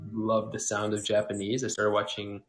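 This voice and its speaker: A man in his twenties from Hawaii, a guest chatting casually in a livestream interview, relaxed with many fillers. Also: fairly low pitch, normal speed, a little hiss or hum. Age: 20s.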